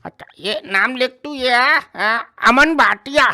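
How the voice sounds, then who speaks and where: Man doing a shrill, pitched-up grumpy cartoon uncle. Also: unhurried, sharp tone, exaggerated voice. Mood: bossy. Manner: scolding, blunt, rustic. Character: grumpy, sarcastic village uncle planning a wedding. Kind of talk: comic cartoon dialogue.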